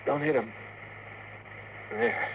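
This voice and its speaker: A man's voice: medium pitch, slow pace, natural voice. An actor playing a plain-spoken, loyal crewman with brief, subdued replies in a 1950s radio drama. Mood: attentive, careful. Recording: noisy, distant, phone-like old radio recording.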